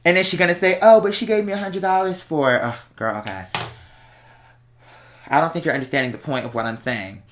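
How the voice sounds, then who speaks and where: A speaker chatting casually to viewers as host, telling stories in a sassy, slangy, exclamatory way with drawn-out emphasis. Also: mid-range voice, a little hiss or hum. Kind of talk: casual storytelling chat to viewers.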